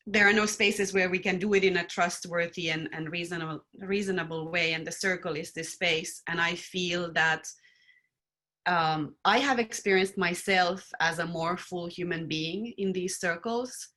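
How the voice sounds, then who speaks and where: A woman raised in Finland, speaking as a panelist in a thoughtful, earnest and measured way. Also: fairly low pitch, sharp tone. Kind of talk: reflective panel talk.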